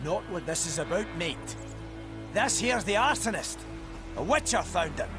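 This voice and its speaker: An indignant village smith in a fantasy game. His voice sits high and swings widely as he grumbles bluntly. Music plays behind him. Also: big pitch swings, moderate pace. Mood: curt, blunt. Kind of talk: video game acting.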